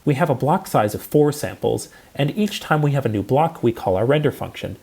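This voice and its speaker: Male lecturer, mellow and a little husky, lively intonation, precise scripted explanation. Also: medium pitch, a little fast. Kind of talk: scripted technical lecture narration.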